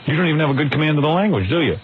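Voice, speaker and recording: Teasing male radio host, lively voice. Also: on the high side, very fast. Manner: teasing, prying, laughing often. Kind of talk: crude radio interview banter. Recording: dull radio recording with clear hiss.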